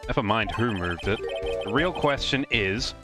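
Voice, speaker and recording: Man as a dramatic, animated defence lawyer. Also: on the low side, normal speed, natural voice. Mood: confident, accusing. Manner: declamatory, confident. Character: earnest, dramatic young male defence lawyer. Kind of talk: live comedic dub of a courtroom game. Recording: music behind the voice.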